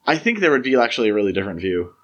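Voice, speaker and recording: A man's voice: on the low side, sing-song, racing, a bit bright, dull audio. A man co-hosting a podcast in casual film discussion, talking conversationally and peppering sentences with "like" and "you know". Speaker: podcast co-host.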